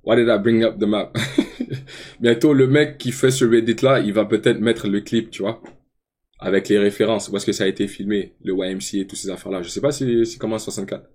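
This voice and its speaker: A man's voice: on the low side, a little fast. Slang-heavy Quebec French man streaming. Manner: mixes French with English slang, animated outbursts. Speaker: streamer reacting to rap videos. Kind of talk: casual live-stream commentary.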